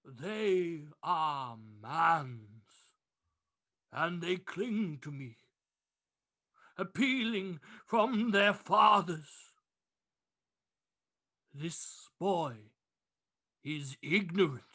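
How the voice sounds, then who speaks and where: A man's voice: fairly high pitch, expressive, drawn-out words, long pauses, bright, forceful tone, full character voice. A man playing a stern, grave Christmas spirit in a declamatory radio drama style. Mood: grave warning. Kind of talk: vintage radio drama acting.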